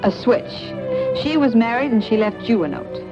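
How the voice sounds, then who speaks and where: Woman acting world-weary wisecracking young dame, slangy and sardonic, lively pitch, 1940s radio thriller, dull vintage recording. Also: normal speed, natural voice. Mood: needling. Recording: dull old radio recording, loud music.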